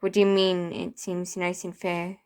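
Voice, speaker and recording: A woman's voice: on the low side, flat delivery, normal speed, a little mellow, clean tone, lightly acted. A woman voicing a bitter, distraught young man in a story, impassioned and protesting, with stumbles. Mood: shocked.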